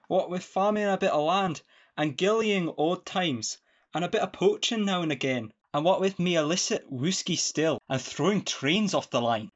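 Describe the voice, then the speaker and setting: Man acting a earnest young Scottish peasant lad, muffled. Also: high voice. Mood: sentimental, proud. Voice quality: broad rustic dialect. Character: good-looking young peasant lad, earnest, sentimental, devoted. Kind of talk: farcical radio play acting.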